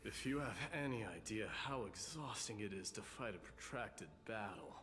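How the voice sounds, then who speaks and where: Game warrior: weary, curt, aloof, male actor, low voice, long reverb, noisy background. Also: animated, normal speed, natural voice. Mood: weary impatience. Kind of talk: scripted video game voice acting. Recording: reverberant, clear background noise.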